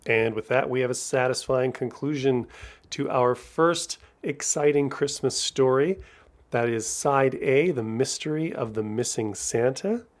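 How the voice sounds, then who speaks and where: A man's voice: medium pitch, measured pace. A man with a mid-range voice hosts a podcast. He delivers scripted narration in a presenter's style. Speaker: podcast host.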